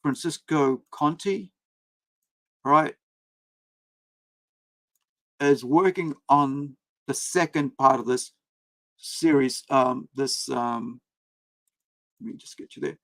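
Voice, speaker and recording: A man born in India, with Hindi as his first language, chats on a live stream from his home setup in a rambling, unscripted way. His voice is gravelly at a middle pitch, and the sound is dry and close. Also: takes it slowly, long pauses, a bit bright, no echo. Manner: rambling, talks to chat, many fillers and restarts. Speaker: comic creator and streamer. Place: home room with several screens.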